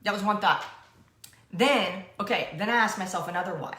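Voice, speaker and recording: A woman's voice: mid-range voice, moderate pace, a bit bright, reverberant. A woman co-hosting a coaching show, chatting casually and rambling off on playful, self-deprecating tangents.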